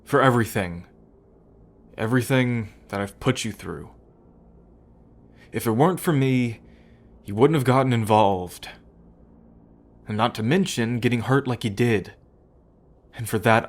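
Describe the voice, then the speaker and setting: Estranged brother, male actor: slow delivery, average male pitch, pleading, apologetic, hesitant, audio roleplay. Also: lightly acted. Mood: remorseful. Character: apologetic, earnest man on the outs with the mob, pleading brother.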